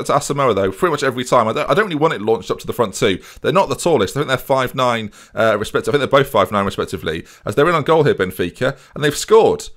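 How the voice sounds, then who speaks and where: Chatty, excitable young man. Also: medium pitch. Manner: chatty, jokey, excitable commentary. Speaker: gaming streamer. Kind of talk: casual game commentary. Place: small home room, indoors. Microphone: headset.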